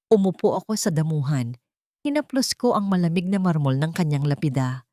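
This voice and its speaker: Expressive low-pitched Filipino woman narrating a story. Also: brisk, no room sound, studio quality. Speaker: audiobook narrator. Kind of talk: scripted first-person dramatic story narration.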